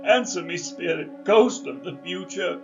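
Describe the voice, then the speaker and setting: A man acting a frightened old miser pleading with a ghost, tremulous, halting and melodramatic, in a theatrical radio drama. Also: high-pitched, vocal fry, lo-fi sound, music in the background. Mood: fearful.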